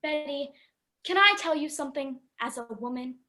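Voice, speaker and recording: A woman's voice: high voice, normal speed, pushed and bright. A woman playing a self-assured, sweetly patronizing housewife friend in an old-style radio commercial, earnest and polished. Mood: confiding, smug.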